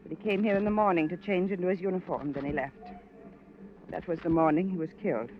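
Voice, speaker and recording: A woman's voice: lowish voice, fast talker, natural voice. An actress playing the bitter, wounded wife of a murdered man in an old radio drama, terse and resigned. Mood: subdued. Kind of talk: 1940s-50s radio drama acting. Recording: muffled, noisy vintage radio recording.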